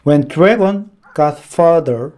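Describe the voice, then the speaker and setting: A man reading a children's picture book aloud in a deliberate, dramatic storytelling manner. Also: on the high side, darkish tone.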